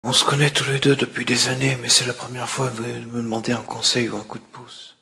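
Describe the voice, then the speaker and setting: A man playing a proud, reproachful mafia godfather in a measured way, in a film fan dub. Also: medium pitch, little pitch movement, gravelly, pushed and bright. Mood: reproachful, wounded pride.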